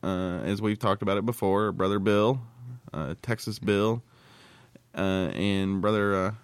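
A man's voice: low-pitched, clean tone, no room sound. American man in blunt, dry-sounding podcast banter. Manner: blunt, crude, colloquial. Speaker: podcast co-host.

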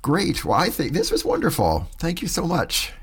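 A man co-hosting a podcast in casual chat, agreeable and quick to affirm, thanking listeners. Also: on the high side, huge pitch swings, breathy edge. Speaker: podcast co-host.

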